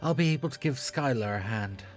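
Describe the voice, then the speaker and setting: A woman's voice: rumbling low, animated, brisk. A woman acting a businesslike, tense airline crew member in a fan audio drama, formal and clipped. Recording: loud music behind.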